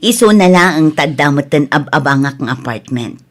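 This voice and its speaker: A woman plays a motherly schoolteacher in a radio drama, her voice sitting a little low. She has a Filipino accent and talks in a kindly, encouraging, coaxing way. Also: expressive, moderate pace. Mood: kindly, persuasive. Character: kindly, encouraging female schoolteacher, older than her student. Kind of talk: Ilocano radio drama acting.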